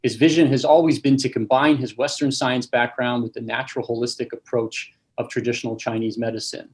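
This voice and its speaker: A young adult man hosting a webinar over a video call, reading a formal speaker introduction and giving relaxed housekeeping notes. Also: mid-range voice, brisk. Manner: reads a formal introduction, then casual housekeeping with fillers. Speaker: webinar host, campus manager.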